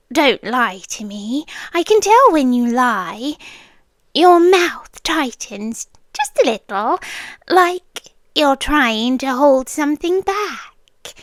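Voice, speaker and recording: A woman's voice: high voice, no room sound. A British woman playing a possessive, doting yandere landlady girlfriend in an ASMR roleplay, cooing intimately and slipping into whispers close to the mic. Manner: cooing, breathy asides that drop into whispers. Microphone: close, dry microphone.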